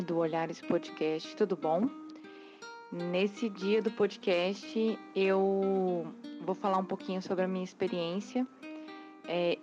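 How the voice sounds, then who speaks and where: A Brazilian woman podcaster giving a casual recorded testimonial as a guest. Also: fairly low pitch. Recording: dull lo-fi voice recording. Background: clear guitar music.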